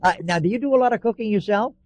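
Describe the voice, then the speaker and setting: A man's voice: sits high, racing, a little mellow, no room sound. A man hosting a radio interview, chatty and repeating words as he starts his sentences. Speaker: radio host.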